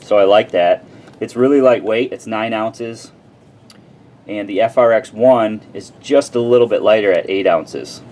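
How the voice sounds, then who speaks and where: A man's voice: on the low side, measured pace, a little mellow. A man giving a casual, plain-spoken product review and demonstration in an informal way. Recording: clearly noisy background.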